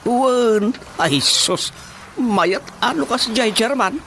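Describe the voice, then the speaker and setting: A man's voice: very high-pitched, animated, slow pace, vocal fry, full character voice. An actor playing a chatty, deferential village man with a Filipino accent, hesitant and repetitive, in a comedy radio drama. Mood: agreeable. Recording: radio drama with music underneath.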